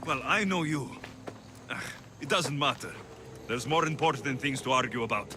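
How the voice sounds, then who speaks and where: An actor playing a roguish, headstrong young warrior in a dramatic video game. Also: male voice, medium pitch, animated, moderate pace, music in the background, lightly acted. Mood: insistent, exasperated.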